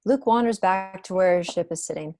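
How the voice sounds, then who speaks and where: A woman's voice: medium pitch, brisk, dark tone, dry recording, clean studio sound. A woman reading stage directions as scripted narration at an amateur online table read. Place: home room, on a video call.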